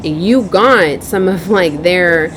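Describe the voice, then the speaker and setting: A woman with a fairly low voice delivers an off-the-cuff spiritual message. She circles back and repeats herself haltingly, with an earnest, cautioning manner. Clear ambient noise runs behind her voice. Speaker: online tarot reader. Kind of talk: improvised spiritual message to viewers.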